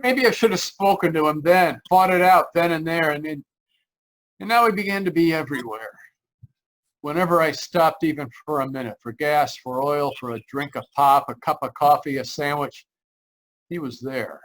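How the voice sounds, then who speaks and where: A haunted young traveler, acted by a man, speaks in a fairly high voice with 1940s radio drama intensity. He halts, stammers and repeats himself, sounding frightened and desperate. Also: fairly bright tone, close to an everyday voice, rough recording. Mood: haunted, weary. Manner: halting, stammering, repeating phrases. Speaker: actor narrating and playing the lead. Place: remote live performance over video call.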